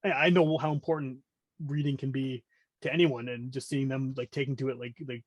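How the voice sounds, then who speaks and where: A man's voice: mid-range voice, level tone, fairly quick, no room sound. A man of about forty with a Canadian accent, chatting casually as a podcast guest in a rambling, self-interrupting way. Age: around 40.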